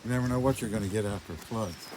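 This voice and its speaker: Older man, low voice, noisy outdoor recording. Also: very fast. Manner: plain-spoken, folksy. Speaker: vlogger showing around own farm. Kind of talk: casual rambling walkaround commentary. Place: outdoors on a rural farm. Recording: noisy outdoor recording with loud ambient noise.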